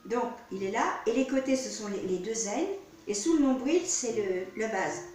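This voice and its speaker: A woman's voice: on the high side, expressive, moderate pace, a little mellow, faint music behind. A woman singing teacher with a cold, explaining vocal technique to her students in a demonstrative way, often repeating her words. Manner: demonstrative, repeats words, talks through gestures. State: has a cold. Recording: echoey room, distant mic.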